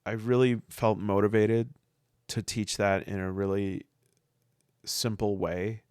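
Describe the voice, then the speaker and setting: A man with a head cold, sniffling now and then, who reviews tabletop game rules in a casual, earnest way, thinking aloud with halting restarts. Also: fairly low pitch, level tone, darkish tone, clean tone, no room sound, studio quality. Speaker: solo tabletop game player and podcaster.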